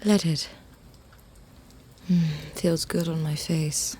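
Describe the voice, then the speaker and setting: A woman's voice: deep voice, steady tone, takes it slowly, warm tone, breathy edge, full character voice. An actress playing a reserved, dry office worker in her thirties, in a naturalistic audio drama. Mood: nonchalant. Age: 30s.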